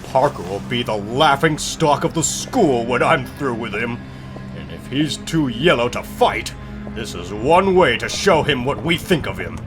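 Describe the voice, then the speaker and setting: A cocky high school bully acted by a man in a comic book drama. His delivery is brash and swings up and down, with music behind him. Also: measured pace. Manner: brash, animated. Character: cocky teenage male school bully and prankster.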